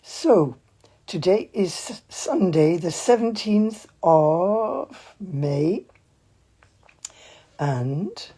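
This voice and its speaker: A woman with a husky, hoarse voice leads a mindfulness livestream at an easy, slow pace. She chats warmly and meanders between topics, speaking at home into a phone. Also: on the low side. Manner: chatty and meandering, gently informal. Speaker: mindfulness teacher. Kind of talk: casual livestream with guided breathing. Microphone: phone, handheld at times.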